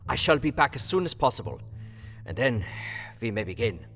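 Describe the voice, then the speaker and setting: A man playing an earnest, commanding foreign professor-doctor in a gothic audio drama, speaking quaint, formal English in a deliberate way. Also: medium pitch, very animated, a bit bright. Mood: grave, commanding. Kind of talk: dramatised audio reading of a Victorian gothic novel. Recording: long hall-like reverb, music underneath.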